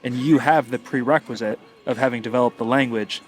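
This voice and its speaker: A man co-hosting a casual podcast, mid-pitched and conversational. He thinks aloud in long, looping sentences and teases his partner now and then. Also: fairly even intonation, brisk. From United States. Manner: teasing, thoughtful, speaks in long winding sentences. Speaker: podcast co-host.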